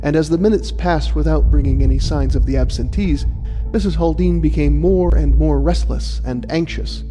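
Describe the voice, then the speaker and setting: A man's voice: fairly high pitch, moderate pace, mellow. A man reading a scripted story as an audiobook narrator in a reverberant recording. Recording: reverberant hall, faint music.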